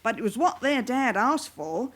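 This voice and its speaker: An actress playing an anxious, sharp-tongued middle-aged mother of criminal lads, with a working-class London accent, in a radio drama. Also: fairly high pitch, big pitch swings, moderate pace. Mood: guarded, probing. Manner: wary, evasive, scolding.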